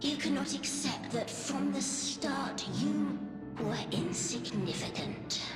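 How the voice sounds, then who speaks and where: Taunting dark female entity, video game villainy, higher voice, deep reverb, loud music. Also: animated, slow pace. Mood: cold contempt. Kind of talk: dramatic video game voice acting. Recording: long echoing reverb under loud music.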